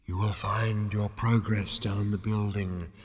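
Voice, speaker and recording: A man voicing a courteous, wistful disembodied spirit with a quiet, deep, ethereal murmur, speaking slowly and formally, as in an audiobook. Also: flat delivery, long reverb tail, faint music behind. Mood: soothing, helpful. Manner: slow, politely formal, soothing.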